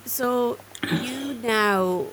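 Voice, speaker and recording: A woman's voice: a little high, drawn-out words, fairly bright tone, slight background noise. A woman interviewing an artist, admiring and searching for words as she asks her questions. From Ireland. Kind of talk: conversational interview.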